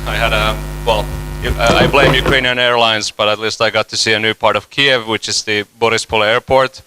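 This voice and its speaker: Man in his 30s, conference speaker, very bright voice, dry close sound. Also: fairly low pitch, little pitch movement, fairly quick, smooth, no echo, poor audio. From Finland. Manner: casual, loose and joking, many fillers and restarts. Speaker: conference speaker, company CEO. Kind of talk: conference talk. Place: conference hall stage.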